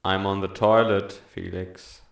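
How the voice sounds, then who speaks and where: A man playing a gruff, crude, easily swayed henchman, blunt and slow-witted, in an audio drama. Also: sits low, little pitch movement. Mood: grumbling. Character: gruff, crude male henchman, dim and suggestible.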